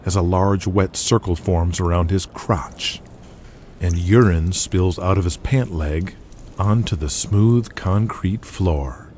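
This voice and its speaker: A man's voice: sits low, a little mellow, slight background noise. A man narrating a scripted audio drama in a dramatic storytelling style.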